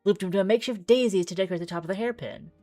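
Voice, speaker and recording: A woman's voice: mid-range voice, rapid-fire, dark tone, no echo, soft background music. A woman streamer doing chatty game playthrough commentary, reading text aloud and musing as she goes.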